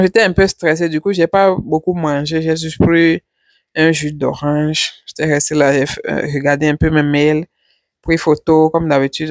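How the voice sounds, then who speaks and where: A woman's voice: deep voice, dry recording, studio quality. A woman vlogger chatting casually over her travel footage, rambling and repeating her words.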